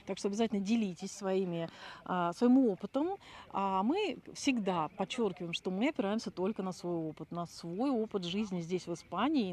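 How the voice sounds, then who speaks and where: A woman's voice: mid-range voice, darkish tone. A middle-aged woman co-hosting a casual vlog, chatty and talking straight to her viewers. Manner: chatty, addresses viewers directly. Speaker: channel co-host.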